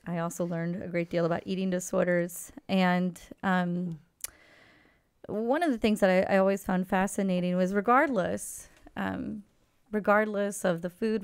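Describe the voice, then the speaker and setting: A woman talking as a guest sex therapist on a podcast, thoughtful and pausing with many ums as she explains. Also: mid-range voice, vocal fry, smooth, no room sound. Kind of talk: podcast conversation.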